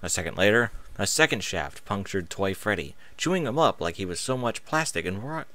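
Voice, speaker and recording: A man's voice: lowish voice, expressive, a little fast, sharp tone. A man narrating an action story as a scripted, dramatic reading with emphatic phrasing. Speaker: narrator reading fan fiction aloud.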